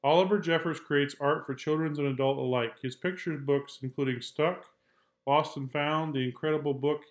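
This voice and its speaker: A man's voice: on the high side, steady tone, moderate pace. A man, an art teacher, narrating a children's book read-aloud.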